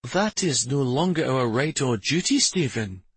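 A man voicing a gentle but firm princess in a fantasy audiobook, with a mild, measured manner. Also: medium pitch, expressive, husky, dry recording, voice pushed up. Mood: mild, cautioning. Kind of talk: fantasy audiobook character dialogue.

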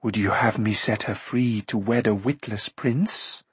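Man voicing a slow, menacing sorceress queen. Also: medium pitch, animated, no echo. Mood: sly, tempting. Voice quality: hoarse whispering. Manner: slow, drawn-out, threatening. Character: menacing sorceress queen, cunning, scornful, commanding. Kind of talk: audiobook character voice.

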